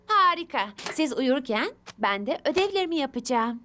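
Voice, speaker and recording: Kind, busy girl heroine for children's animation; high voice, earnest upbeat delivery, music underneath. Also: big pitch swings, moderate pace, pushed and bright, heavily acted. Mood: upbeat, determined. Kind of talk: children's cartoon dub acting.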